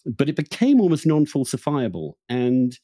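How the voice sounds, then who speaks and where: A man hosting a podcast, arguing a political point earnestly and deliberately, with some hesitation. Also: medium pitch, wildly swooping pitch, warm tone, no room sound. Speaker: podcast host.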